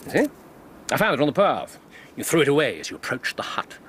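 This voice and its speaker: An English actor playing a brilliant, self-assured detective in a radio drama, with a precise, mercurial, teasing manner. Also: male voice, a little high, huge pitch swings, fast, a bit bright, slight background noise. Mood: teasing, deductive.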